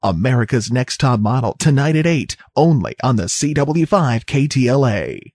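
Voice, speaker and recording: A man's voice: mid-range voice, very animated, normal speed, a little mellow, slightly rough, no room sound. A man reading scripted television promos as a voice-over announcer, with a punchy, polished broadcast delivery on a close studio mic. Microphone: close studio voice-over microphone.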